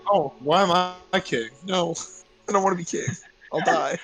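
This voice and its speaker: A man with a voice a little on the high side banters casually as a player in a tabletop game. Faint music plays behind him. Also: moderate pace, fairly bright tone. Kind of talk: casual joking chat. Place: online voice call.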